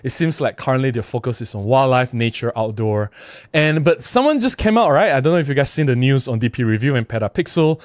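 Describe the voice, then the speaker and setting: A man's voice: medium pitch, animated, brisk, no room sound, studio quality. A middle-aged man with a Malaysian accent chatting casually on a livestream, reading out comments and replying in an emphatic, conversational way. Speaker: livestream host. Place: small indoor room at a desk. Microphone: close desk microphone with windscreen.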